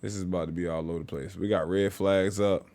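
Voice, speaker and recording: A man's voice: deep voice, fast. A man hosting a reaction video, joking and swearing in casual commentary. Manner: joking, animated, profane.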